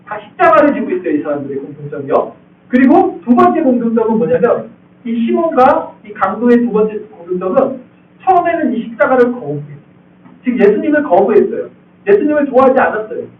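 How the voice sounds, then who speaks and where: High-voiced male pastor in an echoey church. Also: sing-song, fairly quick. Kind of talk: expository sermon. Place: church sanctuary. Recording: echoey hall, distant tinny sound.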